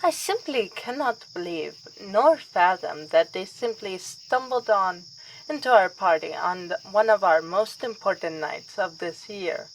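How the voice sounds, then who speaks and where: Actress as reluctant vampire princess, ASMR roleplay: kindly, wry, slightly haughty, slow delivery, faint crickets in the background. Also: female voice, mid-range voice, expressive, rough recording. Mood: incredulous. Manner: formal wording with wry, weary asides. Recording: outdoor ambience with faint insect noise.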